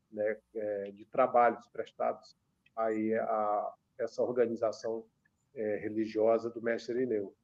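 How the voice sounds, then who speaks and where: Brazilian radio guest in his 50s; gravelly voice, measured delivery, muffled lo-fi broadcast. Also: mid-range voice, darkish tone. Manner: measured, many filler pauses. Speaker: author and religious researcher guest. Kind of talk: radio interview, explaining. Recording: dull lo-fi radio sound.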